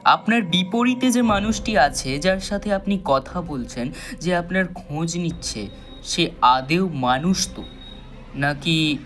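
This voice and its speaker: A man narrating a scripted thriller story with measured, dramatic phrasing and pauses. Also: fairly high pitch, expressive, fairly bright tone. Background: background music.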